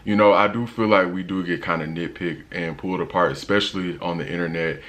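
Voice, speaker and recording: A young man with a deep voice, talking casually in a travel vlog with a laid-back, slangy manner and lots of fillers. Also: fairly even intonation, fairly quick, fairly bright tone. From United States. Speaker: travel vlogger.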